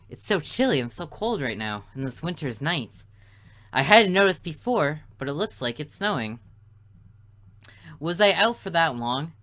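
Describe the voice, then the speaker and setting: A woman's voice: sits low, fairly bright tone. A woman reading a visual novel aloud as a let's player, mixing narration with casual commentary. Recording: music behind the voice. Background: game music.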